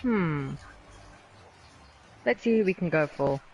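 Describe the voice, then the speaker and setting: A man's voice: on the high side, expressive, a little slow, a little mellow, dull audio, slight background noise. A man summing up a sim race as co-commentator, in a thoughtful, slightly hesitant way. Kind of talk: race analysis.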